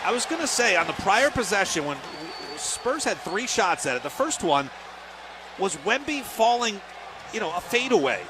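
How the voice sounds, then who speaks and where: A man's voice: high-pitched, sing-song, normal speed, a crowd in the background, background noise. An American man calling live basketball play-by-play on the radio in clipped, fragmentary phrases. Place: live sports broadcast from the game.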